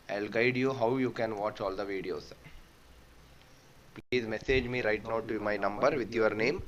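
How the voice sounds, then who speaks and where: A man teaching a live math lesson, explaining step by step and repeating points. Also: medium pitch. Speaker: online exam-prep teacher. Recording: dull lo-fi audio with faint noise.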